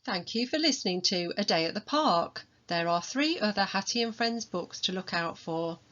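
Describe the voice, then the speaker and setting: A woman reading a children's storybook aloud as its author, in an expressive manner. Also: mid-range voice, moderate pace, highs cut off. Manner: expressive, acts out dialogue.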